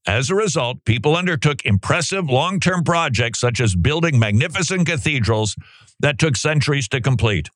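A man hosting a radio talk show, delivering animated, mocking commentary and reading passages aloud. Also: mid-range voice, moderate pace, dry recording. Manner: animated, mocking, sarcastic asides.

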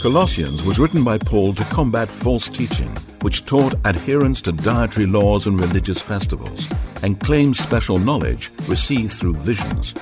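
A man with a low voice reading a scripted devotional segment in a measured way. His pitch moves expressively, and music plays clearly behind him. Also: big pitch swings. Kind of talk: scripted narration.

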